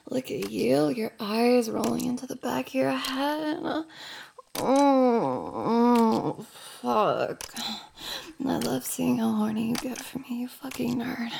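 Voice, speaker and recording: A woman playing a teasing, dominant young roommate in an intimate audio roleplay, speaking slowly and often in a whisper. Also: fairly high pitch, lively intonation, a little mellow, a little hiss or hum, heavily acted. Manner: slow, husky, often whispering.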